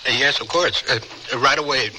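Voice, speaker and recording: An actor playing a flustered, stammering frontier shopkeeper who is eager to appease, in an old-time radio drama. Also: male voice, mid-range voice, moderate pace, bright, forceful tone. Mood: nervous, flustered. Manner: stammering, nervous, obliging. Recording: noisy radio broadcast recording.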